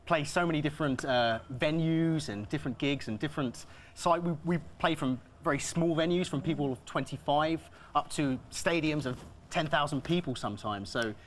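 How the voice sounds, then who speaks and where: A man's voice: a little high, normal speed, few pauses, slight background noise. A young man as a TV interview guest, hesitant and repeating words as he talks. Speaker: tribute band member. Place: TV news studio.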